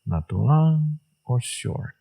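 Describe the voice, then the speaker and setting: A man playing a smug, seductive villain in a romantic audio roleplay, teasing and sure of himself, with a low, gravelly, husky voice. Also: lively intonation, mellow, exaggerated voice. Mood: smug, flirtatious. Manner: teasing, self-assured, unhurried.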